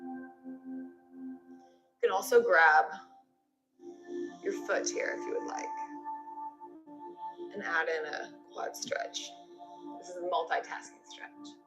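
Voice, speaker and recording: A woman's voice: on the low side, slow, long pauses. Echoey woman yoga instructor over music. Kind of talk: guided yoga class instruction, step-by-step cues. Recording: roomy echo with music behind.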